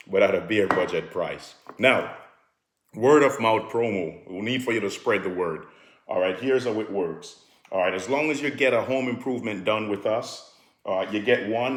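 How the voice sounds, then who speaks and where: A middle-aged man with a Jamaican accent, pitching home improvement deals in a relaxed, chatty way, peppered with "all right". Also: echoey room. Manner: relaxed, repetitive "all right" fillers, sips a drink while talking. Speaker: home improvement company promoter. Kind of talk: casual promotional talk to camera.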